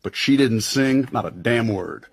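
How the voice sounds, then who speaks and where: An actor with an American accent playing a tough, loyal intelligence agent in a radio drama, husky, wry and slangy. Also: male voice, medium pitch, expressive, unhurried. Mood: blunt, admiring.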